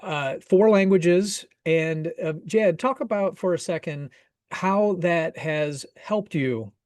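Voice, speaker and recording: A man hosting a real estate show, talking in an energetic, promotional way and asking his guest questions. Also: on the high side, takes it slowly, dry recording, studio quality. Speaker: podcast host, realtor. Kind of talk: upbeat show intro and interview.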